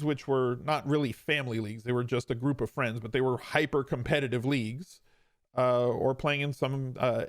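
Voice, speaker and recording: A man's voice: medium pitch, no room sound, studio quality. A man in his forties hosting a podcast, telling his own story in a casual, conversational way with emphatic repeats and some self-deprecation. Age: 40s. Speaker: podcast host.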